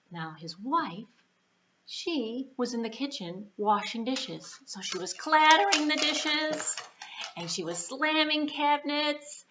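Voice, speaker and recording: A woman's voice: a little high, pushed and bright, muffled recording, a little hiss or hum. A woman telling a puppet show story to young children, in simple phrases with spoken sound effects.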